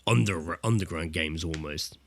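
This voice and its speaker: British man, bright forceful voice, dry close sound, casual podcast chat, sweary. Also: deep voice, moderate pace, no echo. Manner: informal, swearing. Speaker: podcast co-host. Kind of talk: casual chat about video games. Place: indoors, remote internet voice call.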